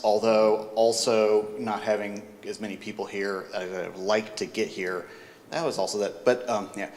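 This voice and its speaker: A man who organized and keynoted the conference, giving a self-deprecating, quick reflection. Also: lowish voice. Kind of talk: casual reflection in a group share. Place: conference hall. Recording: reverberant hall with faint crowd.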